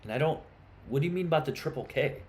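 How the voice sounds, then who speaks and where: A man's voice: a little high, animated, fast, darkish tone. A man hosting a live stream, chatting casually with viewers and arguing insistently with callers. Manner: chatty, turns heated and insistent in arguments. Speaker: livestream host.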